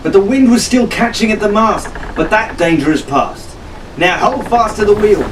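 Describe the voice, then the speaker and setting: Guy doing a cocky teen pirate captain, bossy n sarcastic, higher voice, bit far from mic w/ noise. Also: animated, normal speed. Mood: urgent. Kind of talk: audiobook character acting.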